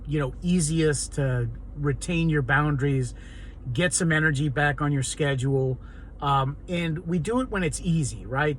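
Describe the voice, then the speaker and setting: A man with a hoarse, raw, raspy voice, talking earnestly and rambling in an unscripted personal vlog monologue. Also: on the high side, a little hiss or hum. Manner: rambling and earnest, many ums and restarts. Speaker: vlogger.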